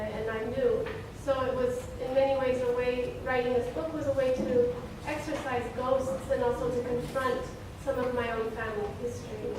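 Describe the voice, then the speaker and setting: A woman born in Ethiopia, a novelist on a panel, answering audience questions in a reflective, hesitant way with many pauses. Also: on the high side. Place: conference room. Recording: echoey room, far from mic, noisy.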